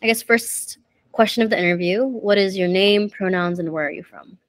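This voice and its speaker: A woman's voice: medium pitch, normal speed, dry recording. A woman interviewer who asks her questions in a tentative, searching way. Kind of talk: casual interview.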